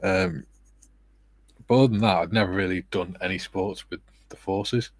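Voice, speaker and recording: A middle-aged man hosting a relaxed livestream, reading viewer comments aloud with a soft, soothing, self-deprecating manner and frequent laughs. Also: sits low, moderate pace, dry recording. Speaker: livestream host.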